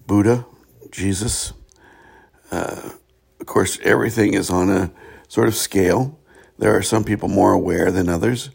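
Hoarse, gravelly-voiced man talking slowly. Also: sits low, a little mellow. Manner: slow and halting, many "uh" pauses. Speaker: host of a spiritual talk show. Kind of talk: reflective solo monologue.

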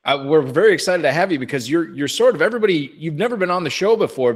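A man hosting a fantasy football radio show, chatty and upbeat, reading sponsor plugs and contact details in a studio. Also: a little high. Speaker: podcast host.

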